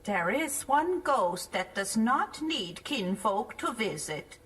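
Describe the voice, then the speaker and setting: A woman's voice: a little high, sing-song, slow, a bit bright, husky, full character voice. A woman playing a solemn, superstitious village woman in a theatrical audio drama. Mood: eerie, solemn.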